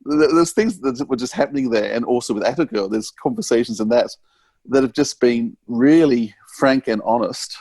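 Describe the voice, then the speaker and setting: A man with a medium-pitched voice hosts an interview in a dry, close recording. He feels his way through sentences, halting and rephrasing as he goes. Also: darkish tone, no echo. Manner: tentative, halting, self-correcting. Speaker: podcast host and interviewer. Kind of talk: conversational interview.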